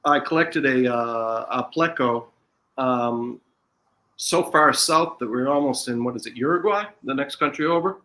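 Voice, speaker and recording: A man's voice: medium pitch, measured pace, pushed and bright. A man with an American accent, a podcast guest in casual chat, talking in a rambling way with frequent restarts.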